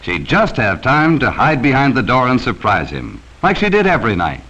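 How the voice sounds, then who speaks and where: A man's voice: medium pitch, wildly swooping pitch, normal speed, sharp tone, muffled recording. An American man narrating a 1940s educational safety film from a script, speaking sternly and cautioning children directly, on a vintage film soundtrack.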